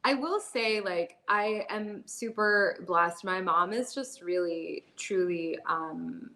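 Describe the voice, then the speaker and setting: Woman in her thirties, bright voice, casual candid chat, lots of fillers, laughs easily. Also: on the low side, slow. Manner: chatty, many "like" and "you know" fillers, laughs often. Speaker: podcast guest. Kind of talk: candid conversational storytelling.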